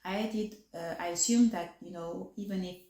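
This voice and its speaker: A woman's voice: lowish voice, steady tone, moderate pace, mellow, slight background noise. French woman vlogger in an echoey room. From France. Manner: articulation a little off from new braces; hesitant, many fillers and restarts. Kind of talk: casual chat to camera.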